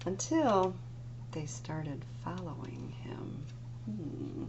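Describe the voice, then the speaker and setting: A woman's voice: fairly low pitch, big pitch swings, very slow, creaky. A woman librarian reading a picture book aloud, dramatic and dropping to whispers for the spooky parts. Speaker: children's librarian. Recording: dull lo-fi recording with noise.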